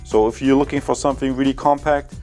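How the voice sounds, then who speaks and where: A man's voice: mid-range voice, steady tone, fast talker, a little mellow, faint music behind. A man giving a casual product review, talking conversationally to his viewers as a tech reviewer. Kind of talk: casual product review and field test talk.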